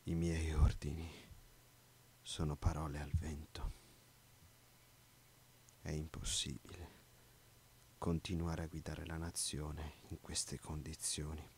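A man's voice: extremely low pitch, monotone, takes it slowly, pauses often, a little mellow, slight background noise, full character voice. A man dubbing a paranoid, ranting middle-aged dictator in an amateur film dub. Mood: defeated, bitter. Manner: ranting, with emphatic repetition.

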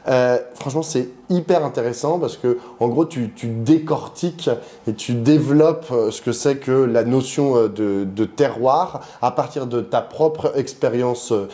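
A man hosting an interview, asking long informal questions in a halting way, with many restarts. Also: mid-range voice, mellow. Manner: hesitant, halting questions with frequent fillers and restarts. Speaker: interviewer and host.

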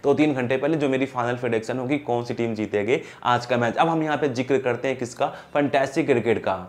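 A man's voice: mid-range voice, fast talker. A young man talking casually to camera about cricket team picks, chatty and direct with viewers, recorded on a lapel mic in a small indoor studio. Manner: chatty, addresses viewers as brothers. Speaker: cricket fantasy team predictor.

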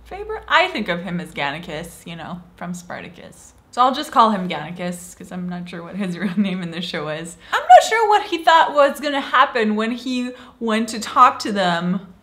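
A woman's voice: mid-range voice, big pitch swings, moderate pace, a bit bright. A young woman from Switzerland giving a casual, enthusiastic review of a TV show, often acting out lines from it, in a small room. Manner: enthusiastic, playfully acts out quoted lines.

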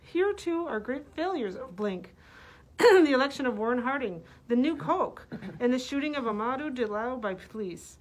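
Middle-aged woman, fairly high pitch, librarian, casual book recommendations, slightly masked sound. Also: lively intonation, normal speed, poor audio. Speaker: librarian presenting books. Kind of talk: book recommendation, reading blurbs with casual asides. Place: library room with bookshelves.

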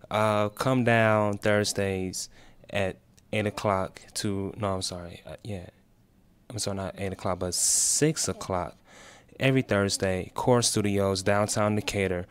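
Man, late 20s, low smooth voice, encouraging testimonial guest. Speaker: guest, peer specialist. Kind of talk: casual interview chat. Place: small radio studio, indoors. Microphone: desk mic on stand.

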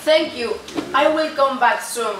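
A woman's voice: sits high, a little slow. A young woman playing a brave, defiant warrior prince in an amateur puppet show. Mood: earnest. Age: young adult. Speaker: student puppeteer. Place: indoor room at a day centre. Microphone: far camera. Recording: noisy echoey room, distant camera mic.

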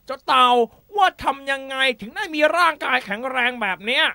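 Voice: high voice, lively intonation, a little fast, vocal fry, pushed and bright, exaggerated voice. A performer voicing a boastful, gullible bully snake with exaggerated delivery in a children's animal fable. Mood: eager, gullible. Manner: exaggerated, threatening then whining.

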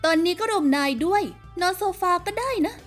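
Woman doing a bossy young game rebel, super high voice, confident n teasing, bit of music behind. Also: lively intonation, brisk. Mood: brisk briefing. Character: bossy, sardonic young female rebel leader. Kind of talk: Thai fan dub of a video game.

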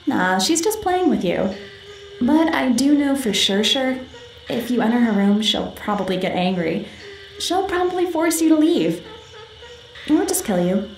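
A woman voicing an eerie, playful young ghost girl in a video game, possessive and teasing, speaking haltingly. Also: fairly high pitch, animated, a little hiss or hum. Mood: teasing, casual. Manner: halting, teasing, giggly, talks to unheard companions. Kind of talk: video game character acting. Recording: echoey room, music underneath. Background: game music.

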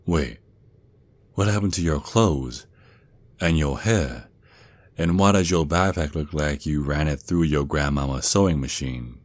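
Very deep Southern werewolf dad played by a man. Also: dry recording. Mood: tender, concerned. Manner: doting, folksy, slow drawl with pet names. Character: protective Southern werewolf father, gruff military sergeant. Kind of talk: ASMR roleplay acting.

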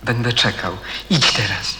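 Intense lovestruck young guy, radio drama, unhurried bright voice, echoey with long reverb. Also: mid-range voice. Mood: insistent. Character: smitten, intense young man, Janusz. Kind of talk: radio play acting. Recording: echoey reverberant space, faint noise.

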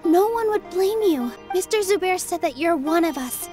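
A woman voicing a kind, loyal young dancer in an anime game, gentle and earnest as she speaks. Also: very high voice, expressive, moderate pace, soft background music. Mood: earnest, reassuring.

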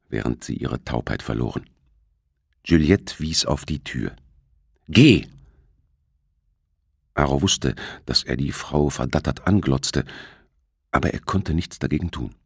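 Male audiobook narrator for a thriller, deep voice, lively intonation, close dry recording. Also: moderate pace, gravelly, slightly rough, no room sound.

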